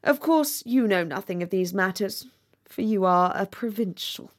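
A woman's voice: medium pitch, lively intonation, moderate pace, natural voice. A woman voicing a vain, pompous male rocket in a storybook, haughty and easily offended. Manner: pompous, haughty, self-important. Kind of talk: storybook character voice.

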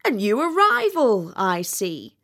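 A woman's voice: medium pitch, wildly swooping pitch, a bit bright, heavily acted. A woman voicing a chatty, self-satisfied little male frog in a storybook, gushing and complacent. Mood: cheerful, chatty. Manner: gushing, complacent, talks without pause. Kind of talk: storybook character voice.

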